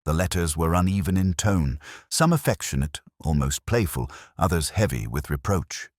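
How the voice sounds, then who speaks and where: A man with an exceptionally low voice narrates a literary detective story. His delivery is formal and measured, yet his intonation moves widely. The sound is dry and close, with no room around it. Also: very animated, a little mellow, dry recording. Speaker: audio drama narrator. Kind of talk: scripted first-person literary mystery narration.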